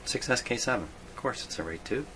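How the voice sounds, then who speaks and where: A man thinking aloud in an unscripted workbench video, halting and puzzled, muttering to himself in a self-deprecating way. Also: mid-range voice, animated. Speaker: host of a radio repair video. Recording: clearly noisy hobby video recording.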